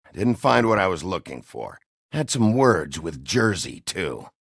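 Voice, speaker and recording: Gravelly, menacing sheriff. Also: male voice, low voice, big pitch swings, unhurried, no room sound, studio quality, exaggerated voice. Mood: hard, threatening. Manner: terse, blunt, threatening, sardonic. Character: gruff, menacing, world-weary male sheriff detective. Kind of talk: noir video game voice acting.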